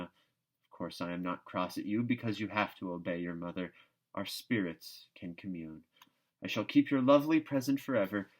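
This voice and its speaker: A man's voice: on the low side, natural voice. A man voicing an earnest, imaginative schoolgirl who speaks in grand words with melodramatic emphasis, in a storybook reading. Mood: earnest devotion.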